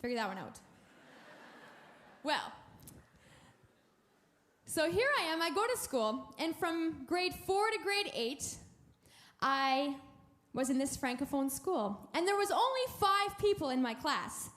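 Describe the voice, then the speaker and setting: High-voiced, unhurried woman speaker. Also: sing-song, fairly bright tone. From Alberta, Canada. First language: French. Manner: chatty, lightly joking. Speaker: guest speaker. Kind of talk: personal testimony talk. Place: large conference hall. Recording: roomy hall with faint music.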